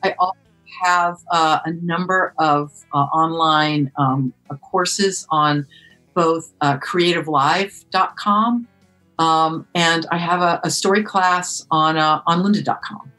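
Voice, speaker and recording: An animated, emphatic woman author talking as a guest on an interview podcast. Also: deep voice, soft background music, low-quality recording. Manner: animated, emphatic, quick bursts of speech.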